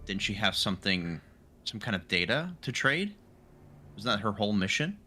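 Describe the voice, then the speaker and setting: A man's voice: lowish voice, big pitch swings, moderate pace. A man playing a tabletop roleplaying game, speaking casually in character with his fellow players. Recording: music playing behind.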